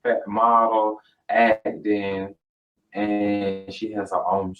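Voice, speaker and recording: A man hosting a talk show, chatting in an animated, rambling way full of fillers. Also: very slow, faint music behind. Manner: animated, rambling, lots of fillers and jumps in pitch. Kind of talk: casual interview chat. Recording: distant mic, muffled lo-fi sound.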